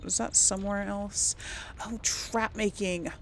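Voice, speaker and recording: A woman's voice: medium pitch, fairly even intonation, a little slow, slight background noise. A woman giving casual video game commentary, conversational and musing as she thinks aloud and talks herself through choices. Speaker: let's play host.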